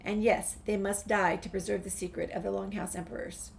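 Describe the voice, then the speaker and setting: A woman with a creaky voice, reading a game's documents and dialogue aloud as a gameplay streamer. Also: medium pitch, level tone, brisk. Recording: faint background noise, game ambience.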